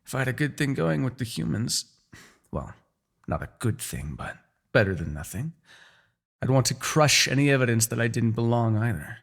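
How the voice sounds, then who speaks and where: Demon king, played by a man, centuries old, for fantasy audio drama. Raspy, widely swinging delivery; proud, bitter, sardonic menace. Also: medium pitch, animated, normal speed. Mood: wry, musing. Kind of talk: fantasy ASMR audio roleplay acting.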